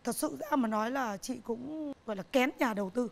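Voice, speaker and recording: A middle-aged woman, a business owner, answering interview questions on a TV talk show set in an earnest, halting way, often repeating herself. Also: fairly high pitch, vocal fry. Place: TV studio set, indoors.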